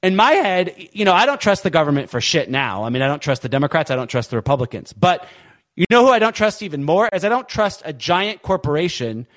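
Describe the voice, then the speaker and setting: A man's voice: fairly high pitch, big pitch swings, fast, fairly bright tone. A man hosting a political podcast, talking in an impassioned, emphatic way. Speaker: podcast host.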